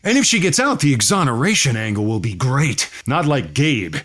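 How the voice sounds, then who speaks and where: A man's voice: medium pitch, big pitch swings, normal speed, a bit bright. A man playing a slick, crass, upbeat radio boss in a comedic audio drama, glib and persuasive with folksy wordplay. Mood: glib, pushy.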